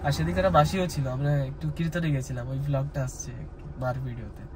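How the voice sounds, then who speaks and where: A man's voice: fairly high pitch, fast talker. A young man with an Indian Bengali accent chats casually to viewers, explaining things and mixing in English words. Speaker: vlogger. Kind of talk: casual chatty vlog to viewers. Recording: noisy outdoor vlog with traffic hum.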